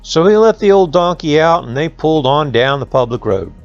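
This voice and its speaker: Guy telling a folksy kids story, really animated, bit of music behind. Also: a little high, brisk, no room sound, muffled recording. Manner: folksy, colloquial dialect phrasing. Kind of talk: folksy oral storytelling for children.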